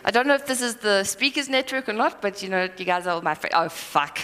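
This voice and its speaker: A woman giving a live conference talk, rambling and self-deprecating with quick asides and apologies. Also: mid-range voice, lively intonation, sharp tone. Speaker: conference speaker. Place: conference hall. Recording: hall reverb.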